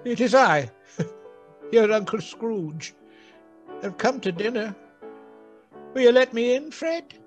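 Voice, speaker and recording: An actor in a radio play voicing an elderly reformed miser with a theatrical, blustery manner. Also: male voice, very high-pitched, expressive, slow pace, pauses often, soft background music, heavily acted. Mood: giddy, hopeful. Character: elderly reformed miser, excitable, jolly, mock-stern.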